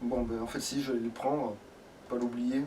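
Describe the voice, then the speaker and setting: A man's voice: mid-range voice, flat delivery, moderate pace, warm tone. A man giving casual, sweary, slangy commentary as he plays a video game. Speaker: gamer playing in a let's play video. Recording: distant mic with background noise.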